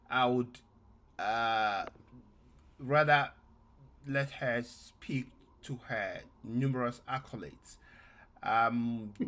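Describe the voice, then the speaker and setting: A male podcast host delivering a prepared introduction at a middle pitch. He speaks slowly and deliberately and fills pauses with um and uh between phrases. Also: a bit bright. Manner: slow and deliberate, reading a prepared intro with many fillers.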